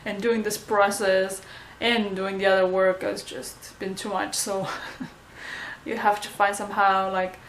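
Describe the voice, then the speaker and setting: A young woman with a Romanian accent, a native Romanian speaker, chatting casually in a home vlog and often pausing to search for words. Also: medium pitch, level tone, a little hiss or hum, poor audio. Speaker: vlogger.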